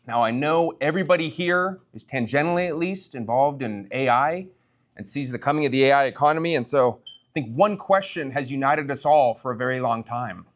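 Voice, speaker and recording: A man's voice: mid-range voice, animated, a little fast. A man speaking as a startup founder at a tech meetup, conversational, addressing the audience directly and posing rhetorical questions.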